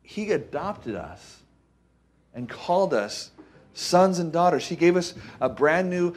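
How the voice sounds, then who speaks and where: Man preaching in a casual, halting style. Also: on the high side, lively intonation. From Costa Rica. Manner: folksy, halting with repeated phrases, asks the listeners for agreement. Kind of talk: informal conversational sermon.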